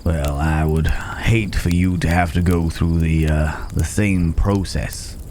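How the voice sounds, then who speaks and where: A man playing a calm, protective cowboy stranger in an ASMR roleplay, talking in an unhurried, folksy way with hesitant pauses and gentle teasing. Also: sits low, slight background noise. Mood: concerned, reassuring. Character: gruff but caring male cowboy stranger, calm and protective. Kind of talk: immersive ASMR roleplay acting. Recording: outdoor night ambience with chirping crickets.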